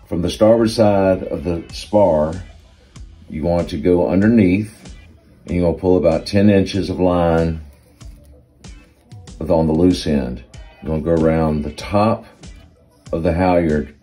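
A middle-aged man giving step-by-step instruction in measured, short phrases with pauses. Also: low voice, fairly even intonation, mellow, soft background music. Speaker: expert sailor demonstrating.

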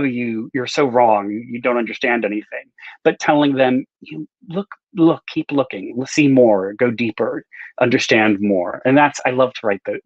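A man's voice: mid-range voice, no echo. Middle-aged man, dull call audio. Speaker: novelist, interview guest. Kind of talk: casual conversational storytelling. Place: home room with bookshelves, indoors. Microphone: video call, no visible microphone but earbuds.

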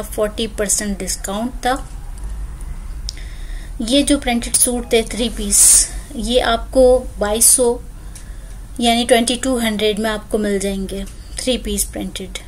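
A woman's voice: a little high, steady tone, normal speed, slight background noise, rough recording. A woman, chatting through a clothing store walkthrough as a shopping vlogger, filmed on a phone. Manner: chatty, lists prices and discounts.

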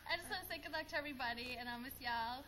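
A woman's voice: high-pitched, rapid-fire. A woman in her late teens in giggly, casual chat to camera as a graduating student. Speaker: graduating high school student. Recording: noisy old VHS camcorder audio.

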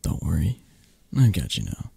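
Husky, murmuring mafia boss lover played by a man. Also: wildly swooping pitch, moderate pace, no echo, full character voice. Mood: fond. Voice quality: breathy, husky low voice. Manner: soft, intimate, hesitant murmuring with soothing reassurance. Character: possessive, flirty male mafia boss doting on his lover. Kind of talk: ASMR romantic roleplay.

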